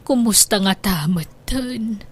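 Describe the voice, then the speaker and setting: A woman's voice: medium pitch, takes it slowly, breathy edge. A woman playing an anxious, devout and loving grandmother in a radio drama, with a tender, lamenting manner. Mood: tender, wistful.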